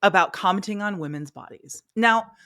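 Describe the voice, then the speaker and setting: A young woman co-hosting a podcast in casual chat, expressive and quick to swear. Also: mid-range voice, no room sound, clean studio sound. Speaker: podcast co-host.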